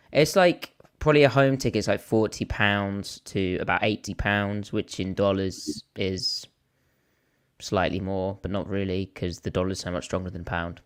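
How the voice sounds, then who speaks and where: A man with a hoarse voice, co-hosting a podcast and rambling through stories in casual chat. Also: fairly low pitch. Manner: rambling storyteller, animated when telling a story. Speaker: podcast co-host.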